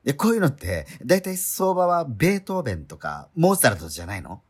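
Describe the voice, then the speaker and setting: A man's voice: fairly high pitch, huge pitch swings, quick pace, husky, heavily acted. A man playing a polite, curious teenage transfer student in a radio drama, with apologetic, puzzled interjections. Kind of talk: conversational radio drama acting.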